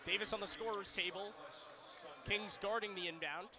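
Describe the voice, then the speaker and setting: A man's voice: high voice, steady tone, normal speed. A man doing radio basketball play-by-play in clipped, descriptive phrases, punching up the big plays. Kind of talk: radio sports play-by-play commentary. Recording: noisy arena with bouncing basketballs.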